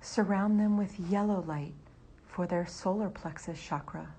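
A woman leading a guided meditation in a soft, breathy near-whisper. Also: fairly low pitch, a little slow, slight background noise.

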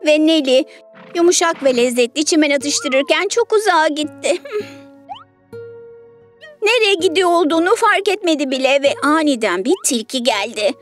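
Woman reading a kids fairy tale, high voice, soft music behind. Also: moderate pace. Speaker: storyteller. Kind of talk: children's story narration.

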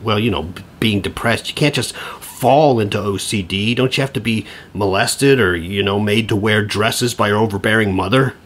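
Husky, sarcastic middle-aged dad. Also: male voice, lowish voice, normal speed, hardly any pauses, fairly bright tone. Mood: exasperated. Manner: sardonic, exasperated. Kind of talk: comedic audio drama acting.

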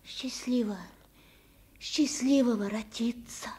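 Slow-spoken, high-voiced old peasant nanny. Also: female voice, darkish tone, slightly rough. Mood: frightened, hushed. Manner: folksy, exclamatory speech. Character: old peasant nanny, gruff, superstitious, protective. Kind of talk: radio drama acting.

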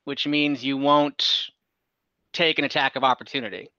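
A young man acting as dungeon master on a video call, easygoing and quick to wave off rule slips. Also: mid-range voice, fairly even intonation, pushed and bright. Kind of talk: running an online tabletop game. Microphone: headset on a video call. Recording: dull lo-fi video call audio.

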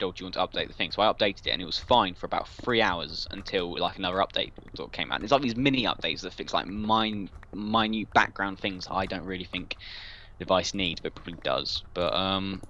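A man's voice: low voice, bright, forceful tone, highs cut off, a little hiss or hum. A young man, telling rambling, jokey stories in casual chat while gaming. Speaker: gaming video creator.

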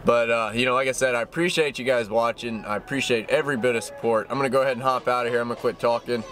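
A man's voice: mid-range voice, fairly even intonation, rapid-fire, a bit bright, soft background music. A man, chatting easily to a dashboard camera from his parked car as a fishing vlogger, rambling and restarting. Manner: easygoing, rambling with restarts, says y'all. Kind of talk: casual chat to camera. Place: parked car cab, indoors.

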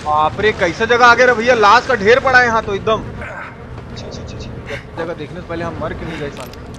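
High-pitched Bihari man streaming a game. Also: lively intonation, fairly quick. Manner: exclamatory, slangy, swearing. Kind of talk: live gameplay commentary with chat greetings. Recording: noisy, game sounds behind.

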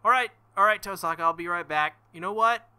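Young male let's-play host; bright, slightly high, excitable and crude. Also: fairly quick, smooth. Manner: excitable, swings to loud outbursts; profane, joking. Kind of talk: visual novel playthrough with commentary.

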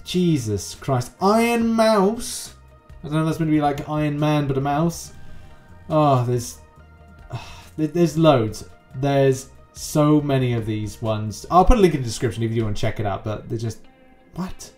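A man's voice: fairly high pitch, lively intonation, a little slow, a little mellow. A young adult man hosting an explainer video, talking in a casual, rambling, conversational way. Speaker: YouTube host. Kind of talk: casual scripted explainer with ad-libbed commentary. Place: small room at home with green screen. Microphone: close desk microphone. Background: background music.

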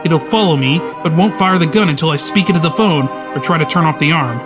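A man's voice: a little high, brisk, natural voice. A man playing a despairing, unstable young killer in a dramatic comic dub, brooding and intense. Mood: weary, bitter. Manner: brooding monologue, intense outbursts. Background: music under the voice.

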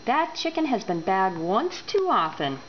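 A woman playing a stern but soft-hearted storybook father, emphatic and plain-spoken. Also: medium pitch, big pitch swings, measured pace. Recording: dull home recording with noise.

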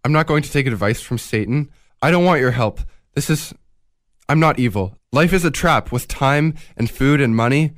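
An actor playing a guilt-ridden, stubborn thief in a radio drama, agitated and defensive. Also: male voice, medium pitch, expressive, moderate pace, no echo, studio quality, close to an everyday voice. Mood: agitated, defiant. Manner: agitated, defiant.

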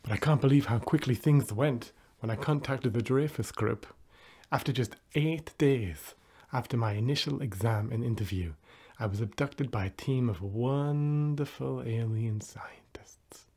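A mellow, husky young man acting as an earnest, wistful client giving an ASMR promo testimonial. His delivery is hushed and breathy, close to a whisper. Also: medium pitch, a little slow. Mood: wistful, grateful.

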